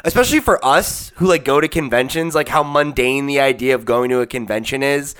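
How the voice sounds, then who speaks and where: A man's voice: medium pitch, brisk, clean tone. A man in his twenties co-hosting a comics podcast, talking casually with lots of "like" and swearing. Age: 20s. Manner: animated, swears casually, says "like" a lot. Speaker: podcast co-host. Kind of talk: casual book club discussion.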